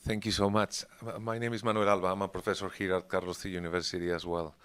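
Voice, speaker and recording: A man's voice: low voice, little pitch movement, fast talker, a bit bright, clean tone. A man, a professor, politely putting a question to a conference panel. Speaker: professor asking from audience.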